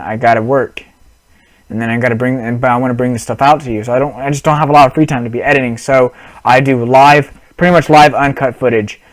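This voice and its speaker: A man's voice: mid-range voice, no echo, low-quality recording. Rambling young man on a headset. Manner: rambling, many restarts and fillers, apologetic. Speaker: gaming vlogger. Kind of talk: casual unscripted vlog.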